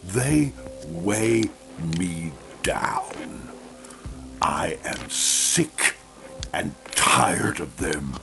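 A man's voice: sits low, big pitch swings, drawn-out words, creaky, music in the background. A man delivering a dramatic scripture reading, altered by a voice changer. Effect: voice-changer processing.

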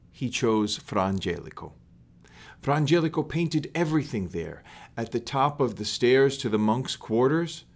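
A middle-aged man reads a children's story aloud in a measured, deliberate way, recorded indoors in a small room. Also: mid-range voice.

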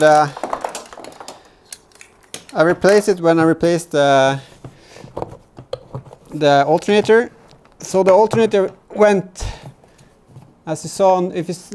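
A man talks casually to camera in a garage. He is unscripted and halting, often doubling back on his words as he explains a DIY setup. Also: on the high side, darkish tone, slight background noise. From Norway. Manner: halting, hesitant, repeats words. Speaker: vlogger, DIY car channel host. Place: home garage workshop, indoors.

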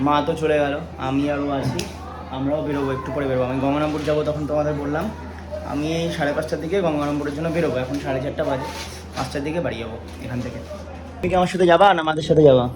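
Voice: mid-range voice, steady tone, very fast. A vlogger with a Bengali accent from West Bengal, chatting casually and repeating phrases while narrating the day. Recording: busy crowd chatter and music behind.

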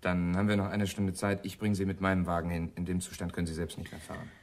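Man playing a deferential low-voiced junior detective. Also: flat delivery, fast, lightly acted. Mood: concerned, reasonable. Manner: deferential, matter-of-fact. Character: dutiful, sensible junior police detective. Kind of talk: German radio crime drama acting.

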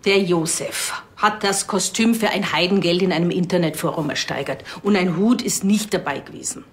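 Low-voiced defensive wife played by an actress. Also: female voice, moderate pace, lightly acted. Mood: indignant. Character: defensive married woman caught in an affair. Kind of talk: television crime comedy acting.

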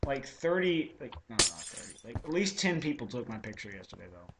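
Hoarse teen guy, noisy recording. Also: medium pitch, big pitch swings, a little fast. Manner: rambling, chatty, self-interrupting. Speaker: video creator. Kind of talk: casual gameplay commentary.

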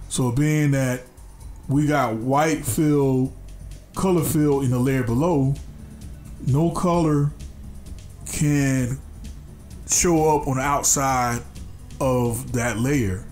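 A man's voice: mid-range voice, darkish tone. A congested man with a nasal voice hosts a casual drawing tutorial, talking loosely with restarts and fillers. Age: adult, a father. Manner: loose and repetitive, restarting phrases, many fillers. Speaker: art tutorial host.

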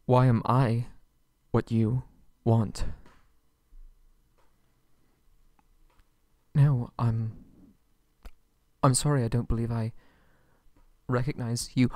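Captive young prince, played by a man for ASMR roleplay: meek, courteous, pleading, slow stammered speech, dry close sound. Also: mid-range voice, fairly even intonation, a little mellow, dry recording, lightly acted. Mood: bewildered, anxious. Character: nervous, submissive, polite young prince held captive. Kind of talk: ASMR roleplay audio drama.

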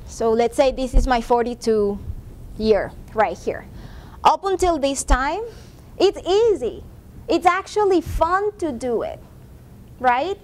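A woman in her forties with a high voice leads a professional development workshop in a classroom. She talks conversationally, checking in with the audience with a quick "right?", and is picked up by a camera across the room. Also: expressive, a little mellow. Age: 40s. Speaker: workshop presenter. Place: classroom with a seated audience, projector screen. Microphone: far room camera.

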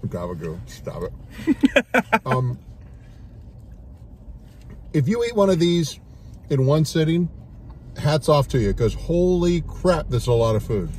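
A man in his forties hosting a casual food review from a parked car, loud, excitable and jokey. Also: on the high side, a little slow, long pauses, slight background noise. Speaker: radio host.

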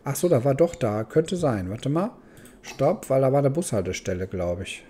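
A man's voice: mid-range voice, very animated, normal speed, dark tone, slight background noise. A man giving casual let's play commentary, thinking aloud and muttering questions to himself. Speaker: gaming host.

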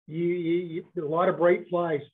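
A man's voice: sits high, level tone, moderate pace, breathy edge. A man chatting casually and asking questions over a tinny video call. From western Canada. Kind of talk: casual chat. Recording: tinny, narrow phone-like call audio.

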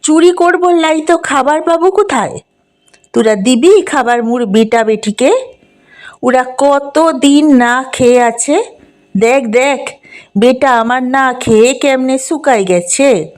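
A woman's voice: sits high, slow. Woman doing a fierce grief-crazed village mother. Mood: desperate. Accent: rural western Bengal dialect. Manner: shouting, desperate.

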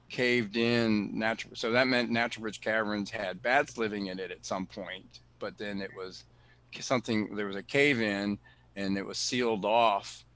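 A man, director of a cave preserve, answering questions on a video call in an explanatory way, often pausing with fillers and restarting sentences. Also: on the low side, pushed and bright. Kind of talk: answering questions in an online talk.

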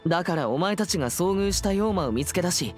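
A man's voice: high voice, expressive, a little fast, soft background music. A man voicing a composed, earnest teenage boy exorcist in an anime game, speaking seriously and politely with a slightly stiff manner. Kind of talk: anime game character acting.

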